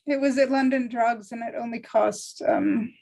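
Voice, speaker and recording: A woman's voice: on the high side, fairly even intonation, moderate pace, a little mellow. A woman taking part in a script table read, chatting in an upbeat way over a video call. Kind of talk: casual chat.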